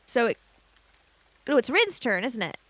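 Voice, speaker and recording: A woman's voice: a little high, big pitch swings, a little slow, fairly bright tone, dry recording. A woman taking part in a tabletop roleplaying game, with casual table talk and improvised narration of her character.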